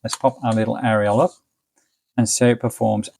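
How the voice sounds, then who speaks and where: A man's voice: on the low side, level tone, brisk, creaky, a little mellow. An English man giving a casual, easygoing hands-on product review in colloquial step-by-step commentary. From United Kingdom. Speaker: gadget reviewer.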